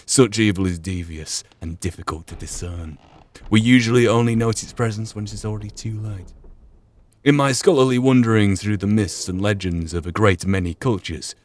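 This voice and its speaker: A man giving measured, reflective commentary and reading aloud during a video game playthrough. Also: lowish voice, no room sound. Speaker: gaming channel player.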